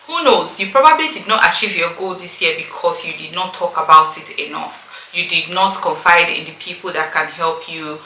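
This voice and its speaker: A woman's voice: fairly low pitch, sharp tone. A woman with a Nigerian accent talking casually and emphatically to her viewers as an opinionated YouTube host. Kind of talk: casual opinionated talk to camera. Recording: echoey room, faint background noise.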